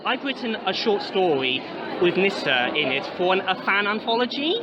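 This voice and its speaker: A man doing a casual fan interview as an amateur interviewer, eager and excitable, with hesitant, stammering speech that repeats words. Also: sits high, moderate pace, highs cut off, noisy background. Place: busy public fan convention. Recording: convention crowd chatter behind.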